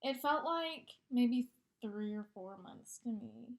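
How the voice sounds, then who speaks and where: A woman's voice: fairly high pitch, expressive, slow, gravelly. A young woman co-hosting a casual chat, laid-back, joking and candid about her own life. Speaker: podcast co-host.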